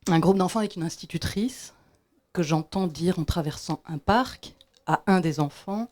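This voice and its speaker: A woman from Belgium, asking a reflective question from the audience with many pauses and restarts. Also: on the low side, darkish tone. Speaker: audience member. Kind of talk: question from the floor.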